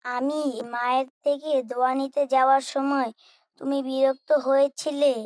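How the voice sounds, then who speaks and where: A woman's voice: high-pitched, level tone, moderate pace, darkish tone, no echo, studio quality, close to an everyday voice. A woman voicing a polite, pious schoolboy in an Islamic cartoon, speaking earnestly and respectfully. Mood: earnest, consoling. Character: pious, polite, gentle schoolboy son, Raihan. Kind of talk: scripted Islamic cartoon dialogue.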